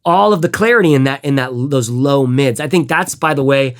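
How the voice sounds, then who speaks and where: A man reviewing headphones in a loose, rambling chat. He swears freely, restarts his sentences and hums out bits of music to make his point. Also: a little fast. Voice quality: fairly high voice. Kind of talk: casual gear review chat.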